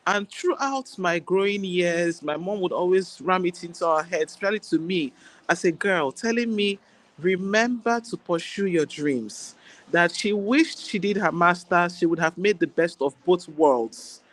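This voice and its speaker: A woman with a Nigerian accent, an Igbo speaker, talking as a guest doctor in a relaxed interview, chatty and quick to laugh. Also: on the low side. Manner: warm, chatty, laughs easily. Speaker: guest, general practitioner. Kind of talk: casual interview chat.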